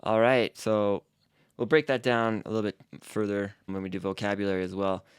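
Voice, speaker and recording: A man's voice: lowish voice, flat delivery, moderate pace, clean tone, no room sound, studio quality. A man co-hosting a casual podcast, explaining Japanese phrases in an easygoing, fluent way. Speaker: podcast co-host teaching Japanese. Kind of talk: casual language-lesson chat.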